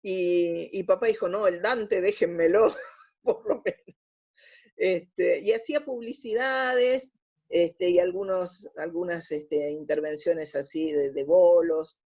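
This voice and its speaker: A woman in her sixties, speaking with a Buenos Aires accent, heard through dull, lo-fi audio. She recounts memories as an interview guest in an expressive, conversational way, often pausing to search for the next phrase. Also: fairly low pitch. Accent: Buenos Aires Spanish. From Argentina.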